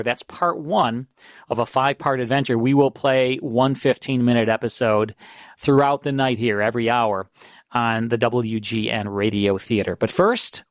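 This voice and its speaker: A man's voice: mid-range voice, no room sound. An American man presenting as a radio host, introducing programmes on a close studio microphone. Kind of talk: radio presenting of old-time shows.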